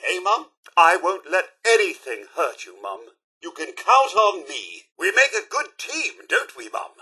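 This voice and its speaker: A man's voice: sits high, bright, forceful tone, slightly rough, full character voice. A man voicing a fussy, loyal robot butler in a video game, with a British accent and a formal, theatrical, dryly polite delivery. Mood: loyal, cheerful. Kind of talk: scripted video game character lines.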